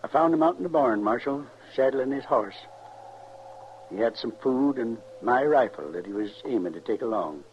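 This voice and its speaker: A worn, grieving older father from the ranch played by a male actor, speaking halting, folksy rural western American in a medium-pitched voice. It is old western radio drama, dull and noisy. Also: expressive, natural voice. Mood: anguished. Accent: rural American West. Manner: folksy, halting, heavy-hearted.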